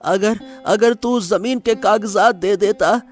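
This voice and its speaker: An actor playing a pleading village brother who cajoles in a drawn-out way in a cartoon story. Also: male voice, sits high, soft background music.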